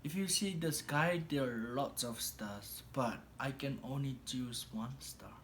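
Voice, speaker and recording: Man, Samoan accent, broken English, slow unhurried pace, casual storytelling. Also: medium pitch, fairly bright tone. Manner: broken English, laughs a lot while telling. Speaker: husband telling how they met.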